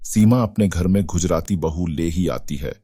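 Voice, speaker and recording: Very deep, dark-voiced male story narrator. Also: moderate pace, husky, no room sound, clean studio sound. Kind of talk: scripted Hindi moral story narration.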